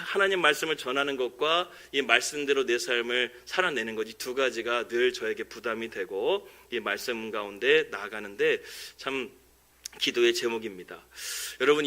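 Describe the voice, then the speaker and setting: A man's voice: medium pitch, sharp tone. A man, a pastor delivering a sermon in an earnest, conversational manner. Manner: earnest, conversational, chuckles at his own jokes. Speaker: pastor preaching a Sunday sermon. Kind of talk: sermon with anecdotes, jokes and prayer. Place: church service, preaching from the pulpit.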